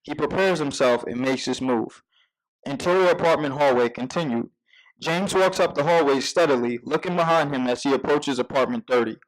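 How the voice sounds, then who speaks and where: A man's voice: medium pitch, moderate pace, rough recording. A man reading out scene headings and action as narrator at a scripted table read.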